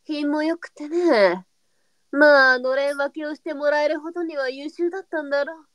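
A woman's voice: high-pitched, normal speed, a bit bright, no echo. A woman playing a pragmatic, brusque brothel mistress in a live period voice drama, with a blunt and knowing way of talking. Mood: reflective. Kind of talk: online live voice drama acting.

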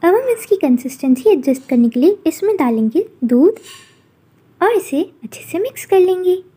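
High-pitched woman narrating a recipe. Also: normal speed. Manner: calm instructional narration. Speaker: cooking channel host. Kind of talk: step-by-step recipe voice-over.

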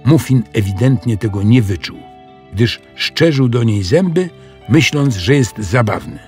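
Male storyteller, unhurried, very varied intonation, husky edge, children's audiobook, music behind. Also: medium pitch, lively intonation, darkish tone. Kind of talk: scripted children's story narration.

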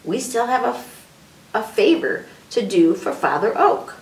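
Woman as a slow, soothing storybook animal mother. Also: on the high side, big pitch swings. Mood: gentle, soothing. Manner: soothing, explanatory. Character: gentle, patient mother animal soothing her child. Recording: roomy echo, distant microphone.

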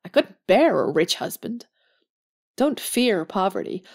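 An actress playing a warm, plucky, idealistic young woman in an audio drama, earnest and plain-spoken. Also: medium pitch, sing-song, a little slow, creaky, heavily acted. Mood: tender.

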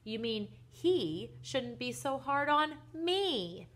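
A woman's voice: mid-range voice, moderate pace, a bit bright. A woman playing an emphatic, grumpy, exasperated dad. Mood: angry. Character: grumpy, exasperated father scolding about his son.

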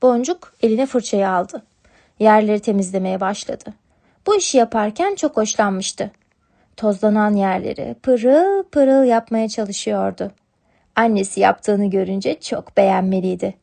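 A woman's voice: medium pitch, a little slow, gravelly, dark tone. A woman narrating a scripted storybook tale for children as a storyteller.

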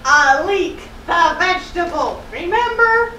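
Woman as squeaky, eager puppet sailor. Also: animated, slow, bright, forceful tone, full character voice. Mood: excited. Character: eager, excitable young puppet sailor creature. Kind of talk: children's puppet show dialogue. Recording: roomy echo, slightly distant mic, faint noise.

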